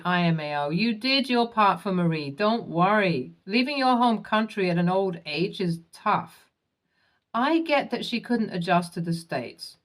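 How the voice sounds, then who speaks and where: A woman narrating online posts in a scripted, even reading that spells out acronyms letter by letter. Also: medium pitch.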